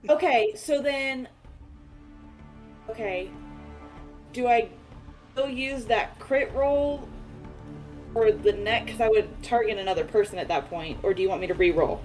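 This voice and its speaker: A woman's voice: fairly high pitch, measured pace, long pauses, darkish tone. A woman talking casually as a player in a tabletop roleplaying game. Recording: music behind the voice. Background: background music.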